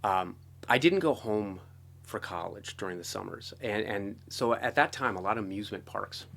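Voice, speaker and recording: A middle-aged American man telling his story casually as an interview guest, talkative and animated. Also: fairly low pitch, moderate pace, vocal fry. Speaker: interview guest, composer and guitarist.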